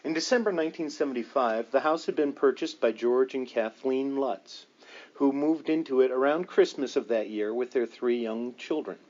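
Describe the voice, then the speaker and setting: A man's voice: mid-range voice, moderate pace, darkish tone, highs cut off. A man reading a paranormal book aloud as scripted narration, with a dramatic storytelling delivery. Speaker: audiobook reader.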